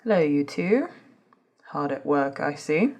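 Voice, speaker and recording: Woman acting low, husky, authoritative female doctor. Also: sing-song, a little mellow, heavily acted. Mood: composed, businesslike. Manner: measured, formal, commanding. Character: composed, authoritative female doctor running a secretive project. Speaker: voice actor in scripted ASMR roleplay. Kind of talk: soft-spoken ASMR roleplay acting.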